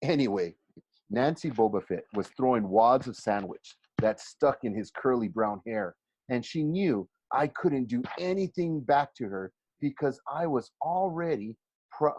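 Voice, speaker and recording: A man reads a first-person story aloud in an expressive, conversational way, with dramatic emphasis and pauses. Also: medium pitch. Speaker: teacher reading a novel aloud for a class. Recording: roomy, slightly dull home recording.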